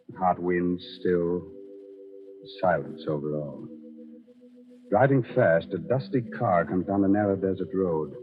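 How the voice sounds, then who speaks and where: A creepy American horror host speaks in a low, theatrical voice with macabre wit. It is an old, muffled 1940s radio broadcast with music clearly behind. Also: male voice. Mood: ominous. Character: Raymond, creepy, sardonic male horror-show host.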